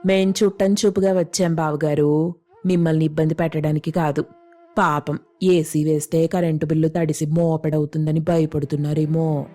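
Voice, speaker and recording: A woman's voice: sits low, flat delivery, moderate pace, mellow, lightly acted. A woman playing a haughty, demanding older female in-law who taunts her hosts in a comic story. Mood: taunting. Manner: taunting, sarcastic, bossy. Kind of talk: comic moral story voice acting.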